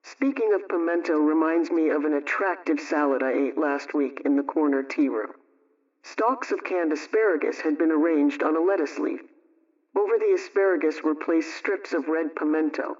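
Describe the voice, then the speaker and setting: A man playing a practical 1920s radio homemaking aunt, giving measured, instructive advice from a script. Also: a little high, a little mellow, close to an everyday voice. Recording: muffled, distant radio-style sound.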